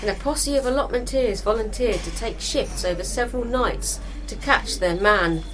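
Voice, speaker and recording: A woman's voice: on the high side, moderate pace, over music, rough recording. A British woman narrating a scripted story as a storyteller.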